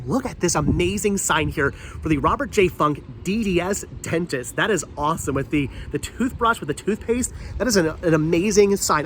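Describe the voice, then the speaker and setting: A man with a stuffy, congested voice from a cold, chatting enthusiastically as he walks outdoors on a rainy town street and films himself. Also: a little high. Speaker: vlogger. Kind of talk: walking tour vlog. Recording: light street noise.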